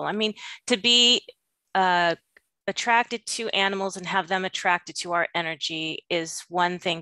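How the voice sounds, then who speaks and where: A middle-aged woman hosting an interview over a video call, asking gentle, measured questions. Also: mid-range voice, no echo. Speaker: podcast host. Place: home room with window. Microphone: video call, webcam mic.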